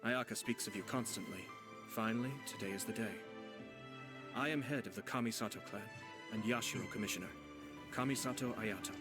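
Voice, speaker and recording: A man's voice: on the low side, over music, natural voice. Man playing a composed, courteous young noble lord. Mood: gracious. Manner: formal, measured, polished. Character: composed, courteous young male clan lord, subtly sly. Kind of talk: video game character acting.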